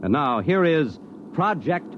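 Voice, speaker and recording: A man's voice: fairly high pitch, sing-song, unhurried, very noisy. An American man announcing a scripted 1950s radio show in a portentous, dramatic style. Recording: muffled vintage radio recording, loud music.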